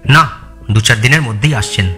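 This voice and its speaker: An actor playing an anxious, obedient household manager who reports to a detective in an audio story. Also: male voice, medium pitch, moderate pace, pushed and bright, faint music behind, close to an everyday voice. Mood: worried. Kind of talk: Bengali audio story acting.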